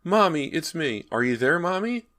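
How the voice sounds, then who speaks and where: A man voicing a frightened little boy trapped in a wall, with high, pleading cries. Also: very animated, normal speed, fairly bright tone.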